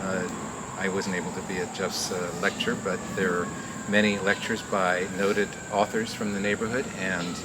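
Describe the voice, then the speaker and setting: A man hosting a live outdoor garden show, welcoming people and doing magician's patter in an informal, halting, rambling way. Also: sits low, little pitch movement. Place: outdoor community garden event. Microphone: live mic through a speaker. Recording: very noisy outdoor live recording.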